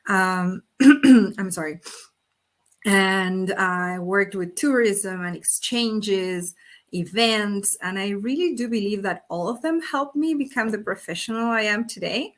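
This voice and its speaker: A woman's voice: medium pitch, takes it slowly. A woman from Argentina whose first language is Spanish, a company executive answering interview questions over a video call, earnest, with many fillers and restarts. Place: Brazil.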